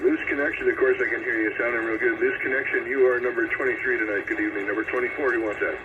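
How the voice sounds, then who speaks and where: A man's voice: mid-range voice, steady tone, rapid-fire. A man hosting a CB radio roll call, checking in stations in a courteous, procedural manner. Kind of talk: CB radio net check-in calling. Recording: noisy, tinny CB radio transmission. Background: loud radio static.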